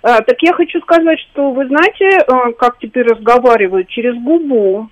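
A woman calling in to a radio show, speaking haltingly with many pauses and fillers. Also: a little high. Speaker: caller. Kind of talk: phone-in conversation. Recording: dull phone line.

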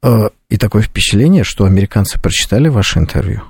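A man with a low, mellow voice and a clear creak hosts a live radio interview. His phrasing is measured and a little hesitant, in a dry studio sound. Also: expressive, no echo. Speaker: radio host. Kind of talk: live call-in interview hosting.

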